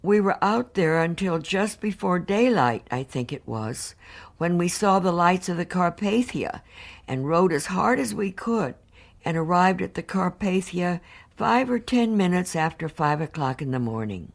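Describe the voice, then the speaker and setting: An actress playing a married woman survivor, giving composed, earnest testimony in a measured, formal, precise way. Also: on the low side, animated, creaky, full character voice. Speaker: actor reading witness testimony. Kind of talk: dramatised reading of hearing testimony.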